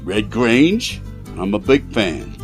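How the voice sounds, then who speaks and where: A man's voice: on the low side, very animated, slow pace, soft background music. An actor playing a chummy, plain-spoken gangster's henchman with slangy speech in a 1920s audio drama.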